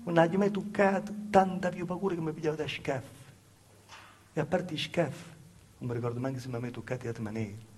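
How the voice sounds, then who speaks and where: A male stage actor with a Calabrian accent playing a plaintive, naive southern peasant woman recalling a hard childhood in an intimate, halting, repetitive dialect monologue. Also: on the high side, darkish tone, a little hiss or hum, natural voice. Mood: fearful, rueful.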